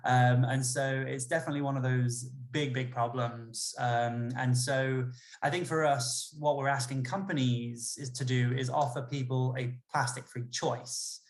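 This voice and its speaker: A man speaking for an ocean campaign group, measured and full of fillers. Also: mid-range voice, steady tone. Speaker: campaign spokesperson. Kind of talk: casual livestream talk.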